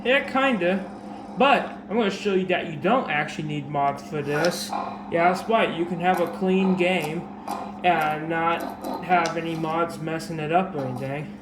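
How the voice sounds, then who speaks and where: A man with a sore, dry throat and a slightly raspy voice, explaining a game trick in a casual, rambling way full of fillers and restarts, recorded on a camera mic. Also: a little high, a little slow. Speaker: gaming video creator. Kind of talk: casual game tutorial commentary. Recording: echoey room with background noise.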